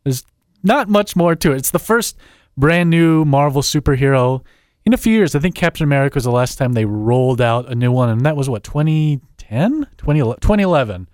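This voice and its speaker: A man, a guest film critic, giving a wry, deadpan plot summary with quick asides in a studio. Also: medium pitch, no room sound. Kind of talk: casual chat, plot summary.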